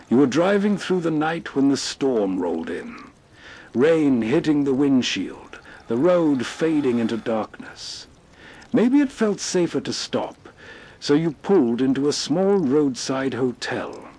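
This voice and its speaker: A man with a fairly high, husky voice hosts a late-night radio program. He reads scripted, atmospheric narration very slowly, setting the scene as a storyteller would. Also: a little mellow.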